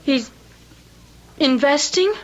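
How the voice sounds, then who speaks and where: High-voiced, anxious young wife. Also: female voice, lively intonation, slow, a little hiss or hum. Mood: defensive, anxious. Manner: pleading, faltering, breathless. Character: devoted, anxious young wife defending her husband. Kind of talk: old-time radio drama acting. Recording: muffled old radio recording.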